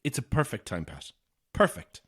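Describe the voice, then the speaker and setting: A man's voice: on the low side, wildly swooping pitch, moderate pace, fairly bright tone, breathy edge, full character voice. An actor playing a lonely, weary Irish man in his thirties in a naturalistic audio horror drama, polite, hesitant and dry in manner. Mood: eager, polite. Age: 30s.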